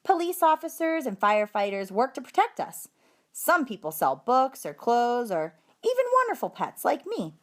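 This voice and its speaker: A woman voicing a small, eager, chatty male classroom hamster telling his story with earnest excitement. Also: a little high, normal speed, voice pushed up.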